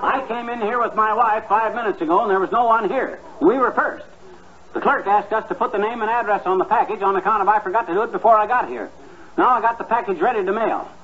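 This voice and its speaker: An actor playing a blustery, stubborn husband in a 1950s radio comedy, with an American accent and folksy, slangy talk. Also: male voice, sits high, fast, natural voice. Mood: indignant, pleading. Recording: dull 1950s radio recording, audience behind.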